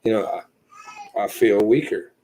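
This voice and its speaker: A man in his thirties telling his personal story as a podcast guest, earnest and halting, filling his pauses with "you know". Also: on the low side, background noise. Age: 30s.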